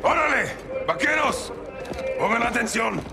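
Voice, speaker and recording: An actor playing a forceful Mexican special forces colonel in a game, commanding and urgent. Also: male voice, very high-pitched, expressive, moderate pace, gravelly, over music, exaggerated voice. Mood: rallying shout. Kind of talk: cinematic military game acting.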